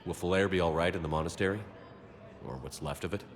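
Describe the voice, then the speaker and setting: A man playing a steady, concerned military hero in a video game, speaking plainly and earnestly. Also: sits low, quick pace, natural voice. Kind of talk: video game cutscene dialogue.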